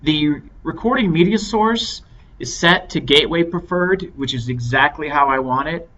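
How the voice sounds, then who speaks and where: A man giving a measured, step-by-step software tutorial as an instructor. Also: on the high side. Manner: measured, explanatory step-by-step delivery. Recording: dull lo-fi microphone with clear noise.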